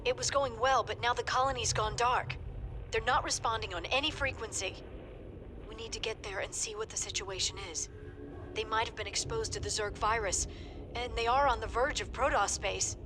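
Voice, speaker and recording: Colonist doctor actress: fairly high female voice, anxious, earnest, sci-fi game drama over music. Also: moderate pace, close to an everyday voice. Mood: worried, urgent. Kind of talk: video game dramatic acting.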